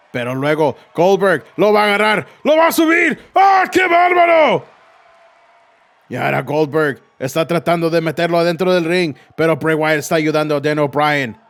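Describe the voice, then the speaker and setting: A man voicing an excitable male Mexican football commentator, calling wrestling in rapid play-by-play that builds to screaming calls. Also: a little high. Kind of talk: mock sports commentary.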